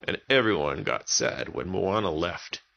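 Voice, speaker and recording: Deep-voiced man telling kids' bedtime stories. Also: expressive, unhurried, gravelly, pushed and bright, lo-fi sound, a little hiss or hum. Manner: simple, repetitive wording for young children. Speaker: children's story podcast narrator. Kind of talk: improvised bedtime storytelling for kids.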